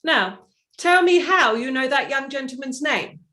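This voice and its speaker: A genteel, imperious mother, played by a woman in a stage play. Her voice is high, with wide, lively swings of intonation, and her manner is prim and insistent. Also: a little slow, full character voice. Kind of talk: theatrical staged play reading.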